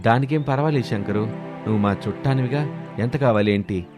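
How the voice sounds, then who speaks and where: A man voicing a trusting, kindly village husband in a cartoon moral story, gentle and obliging. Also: mid-range voice, a little fast, music in the background, lightly acted.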